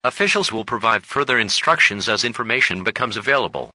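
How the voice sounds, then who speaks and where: Very bright, forceful male alert announcer. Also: lowish voice, animated, fast talker, no echo, poor audio. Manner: formal, impersonal. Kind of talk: scripted emergency broadcast announcement.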